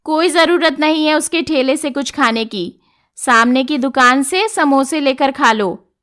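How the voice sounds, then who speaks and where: Woman acting as jealous middle-aged mother, slow delivery, high pitch, disapproving, greedy shopkeeper, cartoon moral tale. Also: fairly bright tone. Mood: resentful. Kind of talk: Hindi animated moral story dialogue.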